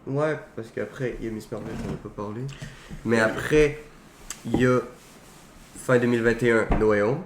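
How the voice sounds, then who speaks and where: A man's voice: mid-range voice, normal speed, long pauses. A man co-hosting a casual film talk, giving blunt opinions in short phrases. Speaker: co-host of a film talk show. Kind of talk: casual film debate between friends. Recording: echoey room with faint background noise.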